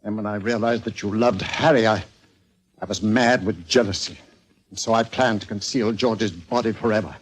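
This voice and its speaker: An actor playing a lovesick, jealous professor turned murderer in a 1940s radio drama, melodramatic and impassioned. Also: male voice, on the low side, moderate pace, close to an everyday voice. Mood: anguished confession. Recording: 1940s radio broadcast recording.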